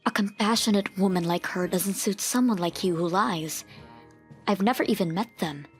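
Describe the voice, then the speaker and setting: A woman playing a firm, principled mother in a manga dub, speaking in a stern, measured, matter-of-fact way. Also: medium pitch, close to an everyday voice. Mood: stern, reproachful. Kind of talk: manga dub dialogue and first-person narration. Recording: music behind the voice.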